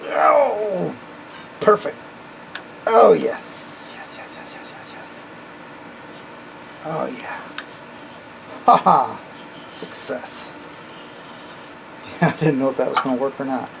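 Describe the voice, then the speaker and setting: Slow, easygoing man in a noisy workshop. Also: a little high, vocal fry. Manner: relaxed, talks to himself while working, cheers at small wins. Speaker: antique radio restorer. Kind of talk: hands-on workshop commentary. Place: home workshop.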